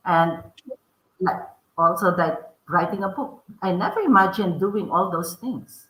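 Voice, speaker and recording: A middle-aged woman with a strong Filipino accent speaks earnestly, often stopping to restart a sentence. Her voice is bright and a little low for a woman. The room echoes around her. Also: measured pace. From Philippines. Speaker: podcast guest, author. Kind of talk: interview answers.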